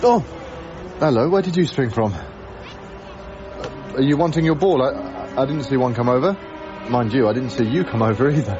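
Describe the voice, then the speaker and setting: An actor playing a friendly young lodger in a radio drama, gentle, hesitant and chatty. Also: male voice, mid-range voice, normal speed, pauses often, lo-fi sound, music in the background, natural voice. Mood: friendly, curious. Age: young adult. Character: mild, lonely, friendly young male lodger. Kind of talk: naturalistic radio drama acting and first-person narration.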